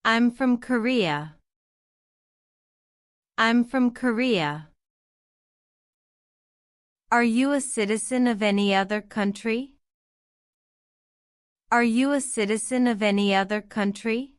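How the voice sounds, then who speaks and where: Slow, clear woman reading practice questions. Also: fairly high pitch, no room sound, studio quality. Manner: reads each line twice, slow and deliberate. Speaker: citizenship test practice narrator. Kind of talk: slow repeated question-and-answer drill.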